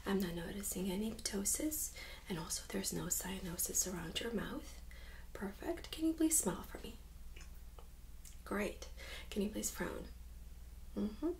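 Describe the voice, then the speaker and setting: Young woman, soft-spoken ASMR examiner, whispery, gentle, creaky, faint background noise. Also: medium pitch, low-quality recording. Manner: hushed, gentle, often whispery. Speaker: host playing a medical examiner. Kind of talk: soft-spoken ASMR medical role-play. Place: small indoor room set up as exam room.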